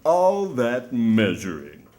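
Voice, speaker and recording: A man announcing in a folksy, theatrical old-time variety show style, pitching sponsor products like a salesman. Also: huge pitch swings, slow, darkish tone.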